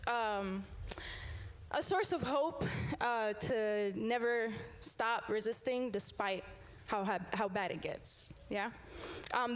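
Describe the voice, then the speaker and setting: A woman's voice: fairly high pitch, fairly bright tone. A young woman from Sudan, a teacher, performing spoken word poetry live before an audience, with a rhythmic, emphatic refrain. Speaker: poet and teacher. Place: live event room with an audience.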